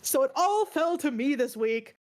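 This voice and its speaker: A woman's voice: high voice, lively intonation, normal speed, a little mellow. A woman in a casual chat, venting in a rambling, emphatic, exasperated way, stressed and upset, swearing as she goes. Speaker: book club stream co-host.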